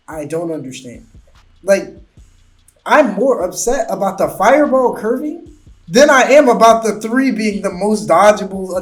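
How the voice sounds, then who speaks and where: A man's voice: a little high, breathy edge. Nasal-sounding man ranting about a game. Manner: ranting, exasperated, rising and louder when annoyed. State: sore throat, recovering from illness. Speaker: gaming YouTuber. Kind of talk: game commentary rant.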